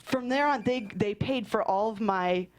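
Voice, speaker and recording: A woman's voice: a little high, moderate pace. A woman guest telling stories casually and comedically, swearing and acting out what people said. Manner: animated quoting, sweary, laughs often, says "like" a lot. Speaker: guest screenwriter and filmmaker. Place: podcast studio.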